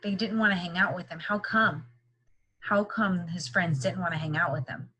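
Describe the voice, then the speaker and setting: A woman's voice: lowish voice, steady tone, normal speed, sharp tone, slightly rough, rough recording. A woman leading an interactive read-aloud lesson for young children, explaining simply and checking in with repeated questions. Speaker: teacher reading a picture book aloud.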